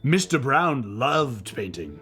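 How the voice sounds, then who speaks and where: A man reading a children's story aloud in an animated, expressive way, with playful banter as a co-host. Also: medium pitch, takes it slowly, faint music behind. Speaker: children's book reader and comedy co-host.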